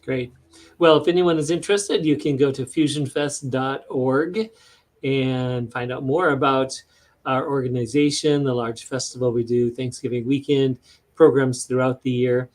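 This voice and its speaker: A man's voice: mid-range voice, steady tone, moderate pace, a little mellow. A man hosting a casual interview, asking questions and promoting an upcoming event. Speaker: host.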